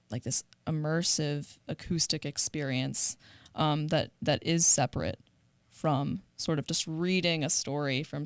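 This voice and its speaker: Low-voiced woman, reflective, in a dry recording. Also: gravelly, no echo. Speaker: podcast co-host. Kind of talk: casual discussion of audio dramas.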